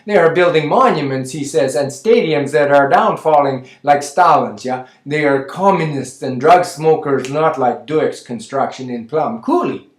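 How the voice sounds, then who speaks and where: Older Mennonite farm woman, gossipy and rambling, thick Low German accent, voice pitched a little high, played by a man, roomy echo. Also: measured pace, few pauses, close to an everyday voice. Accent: Low German Mennonite English. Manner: rambling, scrambled word order, tacks on "yeah?" constantly. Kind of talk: comic character monologue.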